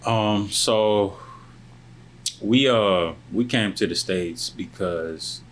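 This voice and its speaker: Guest: young man, very deep voice, soft-spoken, faint air-con hum behind. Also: poor audio. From born in Lebanon, family from South Sudan, raised in the US. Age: young adult. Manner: soft-spoken, measured, trailing off at phrase ends. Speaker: guest, college football player. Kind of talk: casual interview answers.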